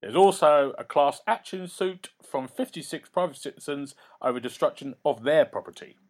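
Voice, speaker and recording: A man voicing a nervous, fussy male city lawyer who stammers and talks fast. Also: animated, lightly acted. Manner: stammering, high and hurried.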